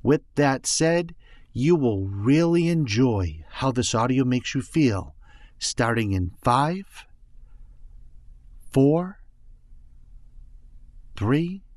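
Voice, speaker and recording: A man narrating a guided meditation in a calm, soothing, hypnotic and deliberate manner. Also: mid-range voice, no room sound. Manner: calm, hypnotic, deliberate, often dropping into breathy whispers. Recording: music bed behind voice.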